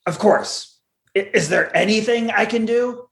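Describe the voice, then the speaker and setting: A man's voice: sits high, unhurried, creaky, echoey room. A man playing an earnest, loyal lieutenant in a sci-fi radio drama, hesitant and deferential, often stammering. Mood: flustered, apologetic. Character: earnest, anxious, loyal young male lieutenant.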